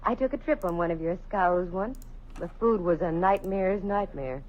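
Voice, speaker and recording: An actress playing a confident, sharp-tongued female private detective in a vintage radio drama, with quick wisecracking banter. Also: lowish voice, highs cut off, close to an everyday voice. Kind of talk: 1940s radio acting.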